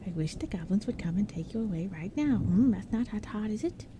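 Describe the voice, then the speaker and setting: A woman doing a fussy, pedantic goblin in hushed tones. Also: on the high side, sing-song, takes it slowly, vocal fry, noisy background, pitched above the performer's own voice, heavily acted. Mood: withering. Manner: hushed, impatient, withering.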